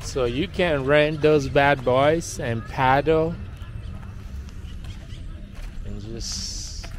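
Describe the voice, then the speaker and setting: A man strolls through a park and talks to the camera in an easy, unhurried way, often dropping into quiet asides. His pitch sits in a middle range. The outdoor camera audio picks up a clear wash of ambient noise around him. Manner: relaxed, chatty, trailing off into quiet asides. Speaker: walking tour vlogger. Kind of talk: casual walking narration. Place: outdoors in a sunny city park by a pond. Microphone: camera-mounted mic, speaker off screen.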